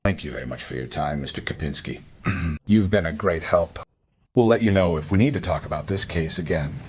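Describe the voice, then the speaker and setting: A man's voice: deep voice, fairly quick, darkish tone, slight background noise, close to an everyday voice. An actor playing a courteous, restrained male police investigator in an audio crime drama. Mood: measured.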